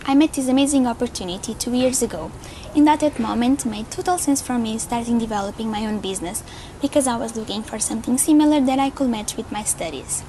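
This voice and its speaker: Young woman, Portuguese accent, native Portuguese speaker, slightly high pitch, faint background noise, testimonial. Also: quick pace, mellow, low-quality recording. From Lisbon, Portugal. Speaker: network marketer giving a testimonial. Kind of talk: prepared video testimonial.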